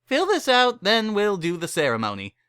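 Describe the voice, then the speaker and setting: A man voicing a tipsy, breezy middle-aged pony mare who officiates weddings with brisk, casual patter in a dramatic story reading. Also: high-pitched, wildly swooping pitch, sharp tone, clear, resonant tone, dry recording, studio quality, voice pushed up, full character voice. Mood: breezy, businesslike.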